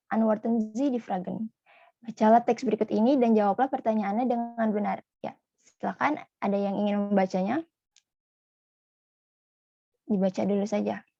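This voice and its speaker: A woman teaching German over a video call, moving back and forth between German and Indonesian as she questions her class. Her voice sits a little high, with a gravelly, creaky edge and a mellow tone. The audio is dry and dull, typical of lo-fi call sound. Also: no room sound. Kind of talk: online class, questioning students.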